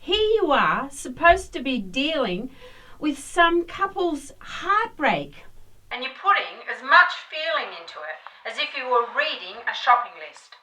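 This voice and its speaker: A woman acts a scornful, bossy serial diva in a comic radio play. Her voice is high and sharp, with slow, imperious delivery that swoops dramatically. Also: sing-song, exaggerated voice, poor audio. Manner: imperious, cutting.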